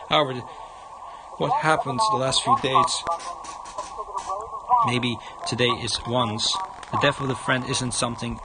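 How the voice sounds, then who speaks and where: A man with a mid-pitched voice reads a visual novel aloud on a livestream. His delivery is halting, with stumbles over the words. Loud noise runs behind him. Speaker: game livestreamer.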